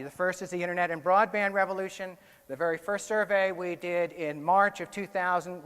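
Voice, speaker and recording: A man's voice: high-pitched, a bit bright. A man with an American accent gives a conference keynote on research findings in a conversational way, often correcting himself. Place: indoor conference hall, on stage. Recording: echoey hall with long reverb.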